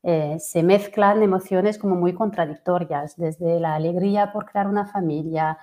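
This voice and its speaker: A woman's voice: on the low side, fairly even intonation, normal speed, dark tone. A French woman in her forties, a fertility coach giving a webinar talk over a video call, hesitant with many fillers and restarts. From France. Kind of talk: webinar presentation.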